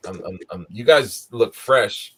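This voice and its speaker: Male live-show host, low-ish voice, hoarse and scratchy, loose rambling delivery. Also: measured pace. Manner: rambling, tired but upbeat. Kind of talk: casual live-stream chat.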